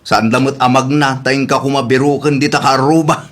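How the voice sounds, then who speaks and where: A man's voice: mid-range voice, level tone, normal speed, close to an everyday voice. A man playing a teasing, easygoing husband in an Ilocano comedy drama, speaking with an Ilocano Filipino accent. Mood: amused, advising.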